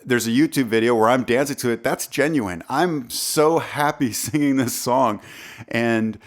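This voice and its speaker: A man with a deep voice talking casually to camera about songwriting, rambling with fillers and restarts, close on a studio condenser mic. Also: measured pace. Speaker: songwriter vlogger.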